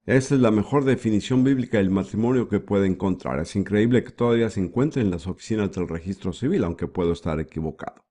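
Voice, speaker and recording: A man giving the voice-over for a sermon, with a measured preaching delivery and frequent rhetorical questions. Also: fairly low pitch, warm tone. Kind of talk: dubbed sermon.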